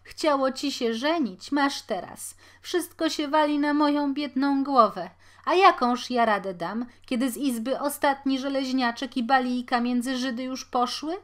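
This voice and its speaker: A woman reading aloud gives voice to a poor middle-aged washerwoman who talks loudly and high. She scolds and laments in a rushed, anxious way, typical of a storybook mother worn down by need. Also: lively intonation, lightly acted. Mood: despairing. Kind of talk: audiobook character voice.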